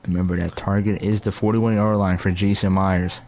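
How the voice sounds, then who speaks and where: Low-voiced young co-commentator. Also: male voice, little pitch movement, normal speed, darkish tone. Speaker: color commentator. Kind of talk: casual video game color commentary.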